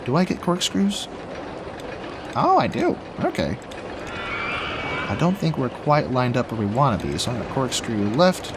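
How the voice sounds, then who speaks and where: A young man with a rough, slightly raspy voice after being sick, chatting casually and rambling as he plays a theme park building game. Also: medium pitch, wildly swooping pitch, moderate pace, pauses often, background noise. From Washington State. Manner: rambling, chatty, laughs often, talks to himself while building. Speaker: gaming YouTuber. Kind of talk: casual let's play commentary.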